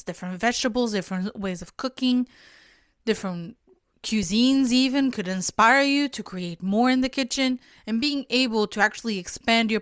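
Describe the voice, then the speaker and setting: A woman's voice: fairly high pitch, a bit bright, clean tone, no echo, studio quality. A young woman from Portugal hosting a solo podcast, giving friendly, instructive advice and sometimes correcting herself mid-sentence. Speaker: podcast host.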